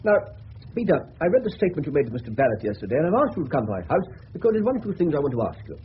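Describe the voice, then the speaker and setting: An actor playing an urbane, courteous senior detective in a radio play, questioning in a brisk, clipped way. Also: male voice, a little high, very animated. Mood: courteous, probing. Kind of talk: 1970s radio play acting. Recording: muffled, faintly hissy old radio broadcast.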